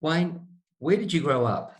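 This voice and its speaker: A man's voice: on the high side, expressive, unhurried. A man interviewing a guest, asking friendly, conversational questions.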